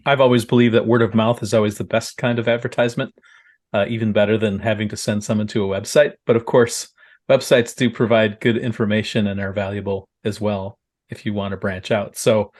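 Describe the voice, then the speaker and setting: A man's voice: lowish voice, steady tone, brisk, darkish tone. A man hosting a podcast interview, introducing his guest and asking curious, friendly questions. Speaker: podcast host.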